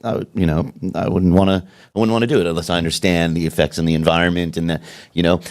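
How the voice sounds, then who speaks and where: A man speaking as a city commissioner in a public workshop, halting with frequent restarts and fillers. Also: sits low, flat delivery, a little mellow. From South Florida. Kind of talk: public meeting discussion.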